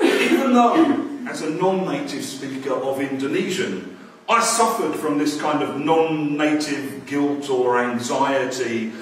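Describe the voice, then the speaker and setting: A man in his fifties telling a personal story at a conference, animated and acting out quoted voices. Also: on the high side, slight background noise. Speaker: conference speaker. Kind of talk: storytelling talk. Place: conference room with projector screen. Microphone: far camera, no mic. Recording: roomy echo from far off.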